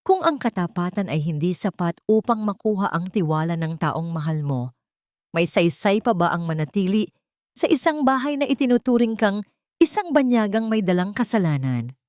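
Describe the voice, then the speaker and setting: A woman's voice: medium pitch, very animated, normal speed, darkish tone, no echo, studio quality. A woman with a Filipino accent reads a dramatic story as a scripted narrator. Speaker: narrator of late-night drama stories.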